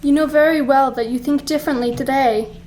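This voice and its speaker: A woman playing a sorrowful, earnest young fiancée in a radio play, with formal, deliberate delivery. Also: high-pitched, steady tone, a little mellow, slight background noise, natural voice, poor audio. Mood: sad resolve. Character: sorrowful, principled young fiancée releasing her betrothed.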